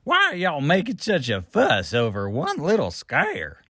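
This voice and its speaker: A woman voicing a gruff, swaggering male chicken rancher with a dismissive drawl. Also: low-pitched, huge pitch swings, unhurried, fairly bright tone, no echo, clean studio sound, pitched below the performer's own voice, full character voice.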